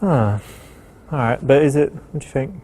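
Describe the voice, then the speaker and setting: Casting: male dating coach, mid-pitched, casual and conversational, giving advice with a halting flow. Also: expressive, warm tone.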